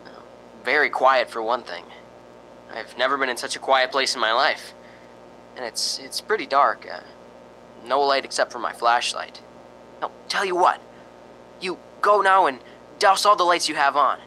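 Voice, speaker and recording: Jumpy, bright-voiced actor as a frightened radio host. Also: male voice, medium pitch, normal speed, pauses often, slight background noise, poor audio. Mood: uneasy. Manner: stammering, hesitant, exclaiming. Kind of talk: old-time radio drama acting.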